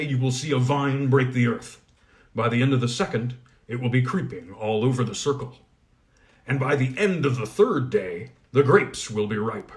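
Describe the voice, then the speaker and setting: A man doing a gruff goblin smith. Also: mid-range voice, natural voice. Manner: brusque, commanding. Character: gruff powerful male goblin smith, blunt and testy.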